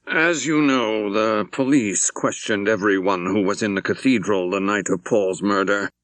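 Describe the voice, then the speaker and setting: A man voicing a troubled senior bishop in an audiobook, speaking in a formal, hesitant, deliberate way. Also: on the low side, fairly bright tone, dry recording. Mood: careful, troubled.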